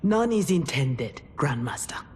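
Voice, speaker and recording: An actress playing a defiant, principled young warrior, blunt and challenging, in a video game cutscene. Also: female voice, low-pitched, very animated, measured pace, a little mellow, slight background noise. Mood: reluctant, troubled. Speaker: warrior trainee.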